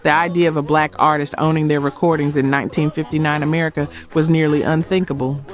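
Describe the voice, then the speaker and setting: A man delivering scripted documentary narration in emphatic short phrases with dramatic pauses. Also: fairly high pitch, flat delivery. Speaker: narrator of a music history video. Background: background music.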